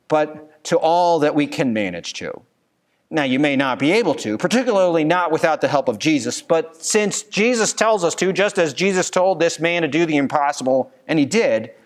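A man in his thirties, a pastor preaching a sermon at a church pulpit, earnest and given to rhetorical questions. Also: a little high. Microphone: pulpit microphone.

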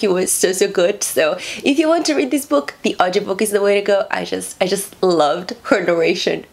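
A young woman hosting a casual book review channel from home, chatting animatedly and sometimes dropping to a whisper, speaking into a camera mic at medium distance. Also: medium pitch. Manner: lively, gestures a lot, drops to whispers. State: sleepy, short on sleep. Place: small room at home, indoors.

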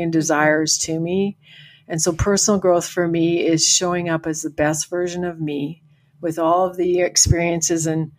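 An older woman coach from Canada talks in a low voice that keeps an even, level line. She sounds upbeat and encouraging. She is heard over a remote call as a podcast guest. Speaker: farm family coach. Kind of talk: podcast interview.

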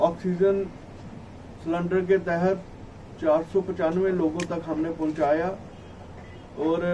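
A middle-aged man delivers a formal statement in a high voice, slightly muffled by a face mask. He speaks haltingly as a spokesman at a press conference. The microphone sits at a distance in a room with faint background noise. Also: darkish tone. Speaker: traders' federation spokesman. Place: small indoor office at a press conference. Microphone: cluster of table microphones, camera at some distance. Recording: distant mic, noisy room ambience.

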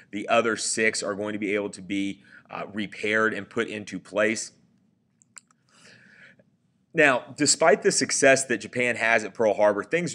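A man with a somewhat low voice talks through a slideshow lecture in a loose, conversational way. He pauses on fillers and corrects himself as he goes, like a teacher explaining to a class. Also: a bit bright. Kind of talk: recorded slideshow history lecture.

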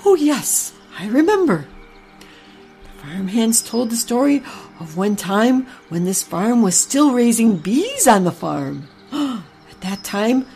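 A woman's voice: fairly high pitch, voice pushed up, full character voice. A woman voicing a kindly old cow who tells stories to the barn animals. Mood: storytelling. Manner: gentle, unhurried storyteller. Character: old motherly cow, female, fond of tales.

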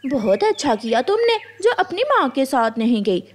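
A sly neighbourhood aunty crow from a cartoon, put on by a woman. Her high voice rises and falls a lot as she coaxes in a crafty, meddling way. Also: sing-song, moderate pace. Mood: coaxing.

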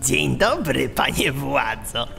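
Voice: medium pitch, big pitch swings, slow pace, gravelly, pushed and bright, faint music behind, exaggerated voice. A performer voicing a snappy, servile rat henchman in a children's audio drama, in an echoing tunnel. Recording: long echo of a sewer tunnel.

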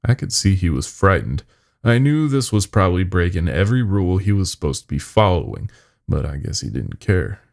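A man tells a horror story in the first person, his voice very low and full of gravel. He sounds weary and folksy, and his delivery rises and falls dramatically. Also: sing-song, brisk, a little mellow.

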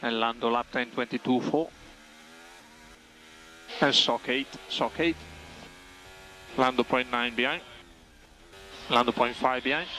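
A man's voice: medium pitch, steady tone, unhurried, long pauses, pushed and bright, slight background noise. A man working as a race engineer, giving clipped, factual updates over team radio and repeating key phrases for clarity. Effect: team radio transmission.

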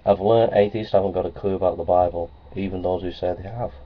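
Deep-voiced man rambling to a webcam. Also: steady tone, quick pace. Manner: plainspoken, repetitive, many ums and uhs. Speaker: vlogger. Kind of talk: casual webcam vlog. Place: small office with framed certificates on the wall. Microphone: webcam at close range. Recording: dull lo-fi webcam audio with faint hiss.

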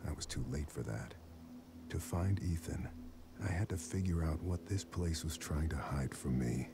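An actor playing a weary, middle-aged occult detective in a video game, narrating gravely and deliberately with a bassy voice. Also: male voice, noisy background. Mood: ominous, hushed. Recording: music behind the voice.

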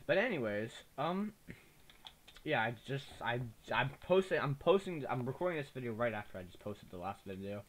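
A man's voice: sing-song, moderate pace. A young man with a slight lisp, rambling casually through a let's play recorded on a cheap microphone. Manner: rambling, chatty, easily distracted. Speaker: gaming YouTuber. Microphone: cheap desktop mic. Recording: low-quality mic with faint noise.

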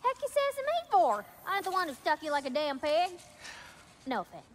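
An actress playing a sassy, blunt healer with rough, folksy rustic speech in a video game cutscene. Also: female voice, very high-pitched, wildly swooping pitch, a little fast, a little hiss or hum, heavily acted. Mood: indignant.

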